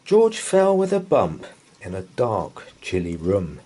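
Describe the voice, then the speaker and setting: Man, bedtime storyteller, dramatic rhythmic verse, unhurried pace, husky tone. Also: fairly low pitch, huge pitch swings, mellow. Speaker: storybook reader. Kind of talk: theatrical bedtime story read-aloud.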